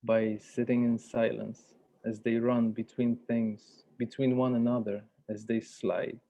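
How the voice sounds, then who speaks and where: Male facilitator, measured and deliberate, creaky voice, slightly dull online-call sound. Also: medium pitch, mellow. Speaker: workshop facilitator. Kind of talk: guided meditation and workshop facilitation. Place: virtual workshop over video call.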